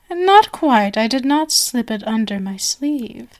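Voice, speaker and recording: A woman's voice: high voice, darkish tone. A woman playing a genial but sinister stage magician, possessive and doting, with flowery, coaxing endearments in an ASMR roleplay.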